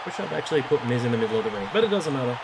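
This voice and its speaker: A man's voice: mid-range voice, very animated, very fast, very noisy. A man giving casual gameplay commentary as the host of a gaming video.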